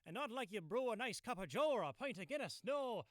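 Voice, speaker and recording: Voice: medium pitch, lively intonation, fairly quick, dry recording, studio quality, lightly acted. An actor playing a chatty, rambling old Irish farmer with an Irish accent in a radio play. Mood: ominous, storytelling. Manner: rambling, folksy.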